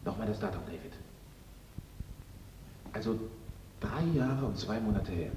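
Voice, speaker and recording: A man's voice: lowish voice, unhurried, lightly acted. Distant, echoey wry man played by an actor. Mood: wry, pleased. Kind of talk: German radio drama acting. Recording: roomy, distant, dull, noisy recording.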